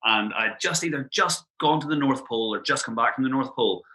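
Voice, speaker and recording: Fast-talking bright Irish man. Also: mid-range voice. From Ireland. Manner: quick, animated storytelling with frequent "like" fillers. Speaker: guest. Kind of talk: casual podcast chat.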